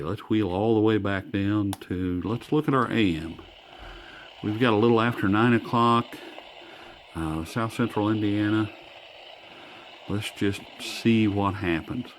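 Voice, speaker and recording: Man, American accent, deep-ish voice, folksy, enthusiastic, casual demo talk, slight background noise. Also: measured pace, pauses often, poor audio. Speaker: host, product reviewer. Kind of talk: casual hands-on review talk. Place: indoors. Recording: faint background noise, indoor room.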